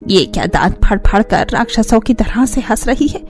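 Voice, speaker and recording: A woman voicing a sharp-tongued, disapproving old grandmother who nags and taunts in a family cartoon. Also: medium pitch, expressive, moderate pace, close to an everyday voice. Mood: scolding, taunting. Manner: taunting, nagging. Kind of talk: Hindi family cartoon voice acting.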